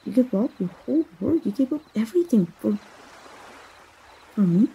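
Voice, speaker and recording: A woman playing a tender, lovestruck young princess in a romantic ASMR roleplay, speaking softly, haltingly and with feeling. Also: on the high side, lively intonation, gravelly, a little hiss or hum. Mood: moved, worried. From Mexico. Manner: halting, emotive, with gasps and sighs. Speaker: voice actor.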